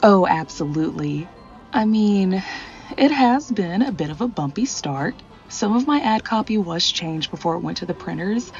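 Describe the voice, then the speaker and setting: A woman's voice: mid-range voice, expressive, moderate pace, darkish tone, lo-fi sound, faint music behind, lightly acted. A woman playing an earnest, fretful department store manager in a 1940s radio acting style. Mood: uneasy.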